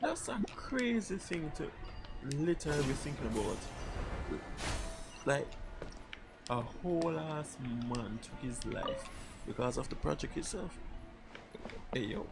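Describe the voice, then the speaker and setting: Animated creaky male game streamer over music. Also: fairly high pitch, slow, long pauses. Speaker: game livestreamer. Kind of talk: casual reaction commentary with slang and swearing.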